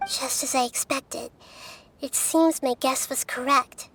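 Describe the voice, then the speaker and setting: Very high-voiced little wise goddess. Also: female voice, steady tone, a bit bright, slight background noise, full character voice. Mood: grave, composed. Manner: measured, composed, articulate. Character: small, wise, gentle child-like goddess. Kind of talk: video game cutscene acting. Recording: music playing behind the voice.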